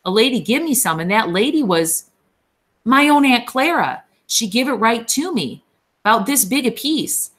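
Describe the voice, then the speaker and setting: Lady doing a big simple farmhand guy, childlike and scared, begging in a high voice. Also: very animated, moderate pace, a bit bright. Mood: wistful. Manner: childlike, pleading, frightened. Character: big slow-witted gentle farmhand man.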